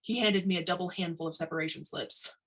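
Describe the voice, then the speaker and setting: A woman's voice: fairly low pitch, fairly even intonation, quick pace, fairly bright tone. A woman reading a short story aloud as a narrator. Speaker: reader of short stories.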